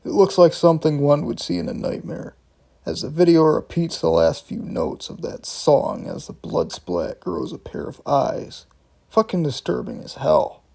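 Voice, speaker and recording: Animated, gravelly man doing video commentary. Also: fairly high pitch, normal speed. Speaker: video host and reviewer. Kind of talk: scripted commentary with casual swearing.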